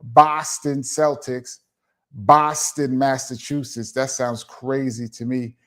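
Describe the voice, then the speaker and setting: A man's voice: mid-range voice, level tone, takes it slowly, a bit bright. A middle-aged man from Brooklyn with a New York accent, casually hosting a live stream and answering viewer questions in a laid-back, chatty way. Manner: laid-back, chatty, laughs and says um often. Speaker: host of a live chat show.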